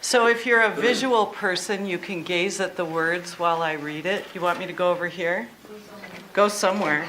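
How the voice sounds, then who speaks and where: A woman co-presenting a workshop, with a loud, big voice, introducing a poem to a group. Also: fairly low pitch, normal speed, noisy background. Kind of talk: informal talk and poetry reading. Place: meeting room with an audience.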